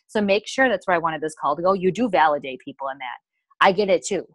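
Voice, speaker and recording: A woman's voice: lowish voice, quick pace, no room sound, clean studio sound. An American woman leading a casual team coaching call in a peppy, chatty, rambling way with frequent asides. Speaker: sales team leader hosting a coaching call.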